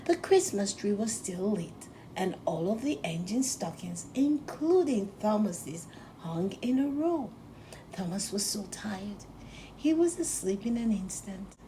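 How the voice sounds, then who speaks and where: A woman narrating a children's storybook aloud in a gentle, deliberate, expressive storytelling manner. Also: mid-range voice, a little hiss or hum, poor audio. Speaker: storybook reader.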